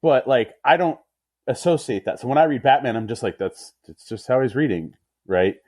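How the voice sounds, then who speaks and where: A man co-hosting a comics podcast, chatting casually and thinking aloud in halting fragments. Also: mid-range voice, lively intonation, darkish tone, slightly rough. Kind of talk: casual chat.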